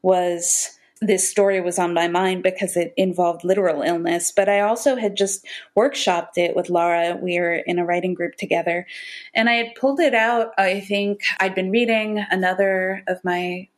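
A woman's voice: fairly low pitch, fairly even intonation, moderate pace. A woman speaking as a guest author in casual, reflective interview conversation.

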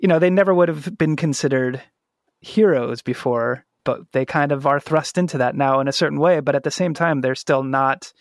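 A man's voice: on the high side, moderate pace, dry recording, studio quality. A man hosting a podcast in a reflective, casual conversational style. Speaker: podcast host.

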